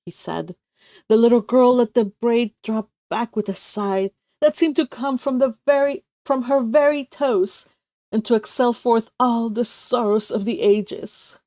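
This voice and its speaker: Female storybook narrator, fairly high voice, expressive read, dry recording. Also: unhurried, no echo. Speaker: audiobook narrator.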